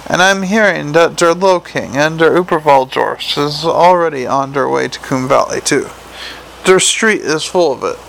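Male reader as troll sergeant: big, earnest, slow, dialect-heavy, gravelly. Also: a little high, fairly bright tone, slight background noise, low-quality recording. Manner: slow, patient talk with thick "der" dialect.

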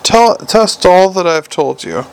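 A composed, cultured troll king, as a man reading aloud puts him on, speaking in a quiet, measured way with a schoolteacher's firmness. The voice sits a little high and carries a gravelly edge. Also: lively intonation, exaggerated voice, poor audio. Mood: encouraging. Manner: measured, softly commanding, teacherly. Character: calm, clever, cultured male troll king.

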